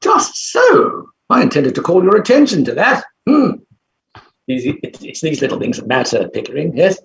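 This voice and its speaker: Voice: medium pitch, huge pitch swings, moderate pace, creaky. An overbearing bachelor professor as acted in a play reading, pompous and dismissive. The delivery swings widely and theatrically, with a roomy echo around it. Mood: pompous, evasive. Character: arrogant, blustering bachelor phonetics professor, male, overbearing. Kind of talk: staged play reading, stage acting. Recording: roomy, echoing sound.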